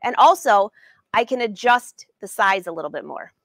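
A woman's voice: medium pitch, expressive, normal speed, no room sound. A woman in her forties leading a live sewing lesson from her studio, chatting upbeat and answering viewers by name. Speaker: sewing educator and brand ambassador.

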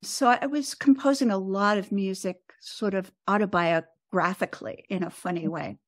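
An American woman of seventy talking reflectively as an interview guest, with thoughtful, halting phrasing. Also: medium pitch, no room sound, clean studio sound. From United States. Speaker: podcast guest, composer and author.

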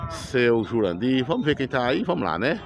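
A man's voice: mid-range voice, very animated, normal speed, gravelly. A middle-aged man, chatting casually to viewers as he walks through outdoor livestock pens. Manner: chatty, pointing things out to viewers. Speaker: vlogger visiting a cattle fair. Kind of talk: casual on-the-spot commentary. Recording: busy outdoor crowd noise. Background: crowd chatter.

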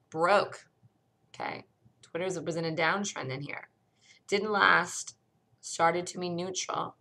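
A woman with a hoarse voice from a cold, bluntly walking through a stock chart in a casual screen-share lesson. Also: lowish voice, pushed and bright. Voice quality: gravelly. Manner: blunt, conversational, thinks aloud while pointing at charts. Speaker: stock trading educator.

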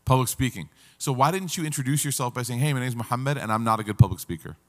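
A man's voice: mid-range voice, rapid-fire, fairly bright tone. A man in his thirties teaches at a table in a big hall, his voice in a normal middle register. He keeps the class engaged, putting questions to the audience and working in their answers. A long reverb from the hall trails his words. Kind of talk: interactive Quran class.

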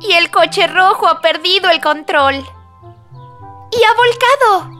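A woman's voice: very high-pitched, expressive, measured pace, sharp tone, faint music behind, exaggerated voice. A woman voicing a cheerful, helpful little girl in a children's cartoon, talking to young viewers in a teacherly, exclamatory way. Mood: playful. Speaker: main character and presenter of a children's cartoon.

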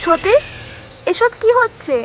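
A woman playing a panicky, bewildered young wife in a horror audio story, with a shrill voice. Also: expressive, slow pace, full character voice. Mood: panicked. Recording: dull, faintly noisy recording.

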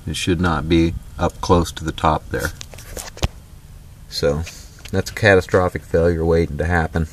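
Deep-voiced man rambling through a how-to. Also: flat delivery, a little slow, long pauses, a little hiss or hum. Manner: rambling, folksy, frequent fillers and restarts. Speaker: DIY vlogger. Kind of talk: casual off-the-cuff how-to vlog. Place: outdoors on a driveway beside a trailer.

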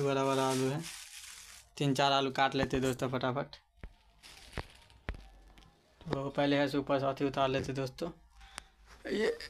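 A man talks through a home cooking vlog in a flat, level voice, narrating every step and calling out to his friends. He is recorded on a handheld phone in a small kitchen. Pots and dishes clatter clearly in the background. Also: medium pitch, background noise. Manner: chatty, keeps addressing friends, narrates each step. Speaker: cooking vlogger. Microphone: handheld phone camera.

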